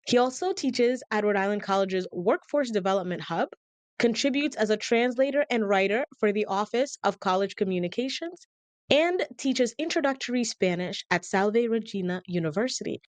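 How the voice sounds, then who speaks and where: A woman's voice: mid-range voice, expressive, moderate pace, dry recording. A woman hosting a podcast, delivering scripted introductions and questions in a polished, articulate presenter's manner. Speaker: podcast host, communication coach.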